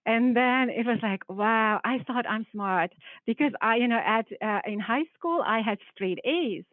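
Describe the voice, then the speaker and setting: A woman with a Hungarian accent, a biology professor giving an earnest, halting panel talk over a video call. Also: a little high, no echo. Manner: hesitant, restarts phrases, earnest. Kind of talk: panel presentation with slides. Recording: tinny phone-like call audio.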